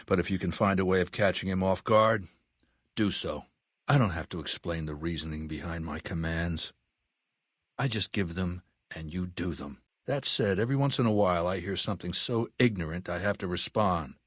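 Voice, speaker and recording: A man's voice: sits low, moderate pace, no room sound, studio quality. An older man playing an imperious, ruthless warlord in a video game, speaking with cold, commanding, formal diction. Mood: grim, calculating, then stern, menacing. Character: imperious, ruthless ageing male warlord commanding a slaver legion. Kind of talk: video game character acting.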